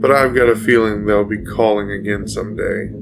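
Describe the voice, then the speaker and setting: An actor playing a haunted, feverish man changed by otherworldly torment, telling his tale with halting, brooding intensity in a gothic audio drama. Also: fairly low pitch, fairly even intonation, vocal fry. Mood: haunted, wondering. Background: guitar music.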